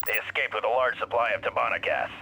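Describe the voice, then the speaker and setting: An actor playing a brisk, officious Imperial officer in a video game, formal and clipped. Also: male voice, mid-range voice, level tone, creaky, bright, forceful tone, slight background noise, rough recording. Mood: formal reporting.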